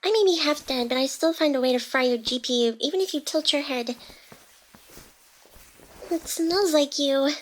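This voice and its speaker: A woman playing a playful, wounded young female AI hacker, secretly devoted, in intimate ASMR roleplay with breathy, halting, teasing speech that often drops to a whisper. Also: sits high, natural voice. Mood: teasing, fond.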